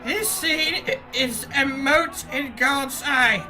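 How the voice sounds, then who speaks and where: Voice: sits high, drawn-out words, sharp tone, shouting, faint music behind, a faint crowd, heavily acted. An actor playing a nervous, moralizing police officer near retirement, worried and melodramatic, in a comic audio drama. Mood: indignant.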